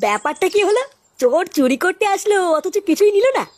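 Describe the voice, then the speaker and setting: A man's voice: very high voice, sing-song, quick pace. A man playing a gullible, easily alarmed young villager in a cartoon comedy, full of incredulous questions and exclamations. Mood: curious. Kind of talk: slapstick cartoon comedy dialogue.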